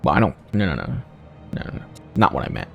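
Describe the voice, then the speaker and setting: A man's voice: very deep voice, wildly swooping pitch, fast talker, gravelly, highs cut off. A man giving casual playthrough commentary on a game, muttering to himself as he decides. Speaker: gaming video host. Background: game music.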